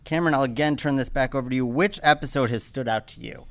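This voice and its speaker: A man hosting a workplace podcast, with a loud voice and an upbeat way of steering the chat. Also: medium pitch, quick pace, vocal fry. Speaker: podcast host.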